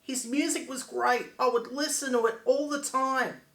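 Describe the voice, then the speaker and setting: A man's voice: very high voice, steady tone, moderate pace, a bit bright. Echoey, earnest, grieving friend acted by a man. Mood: sorrowful, disappointed. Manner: earnest, reflective. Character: grieving friend who believed in a failed musician. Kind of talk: dramatic monologue. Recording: echoey room.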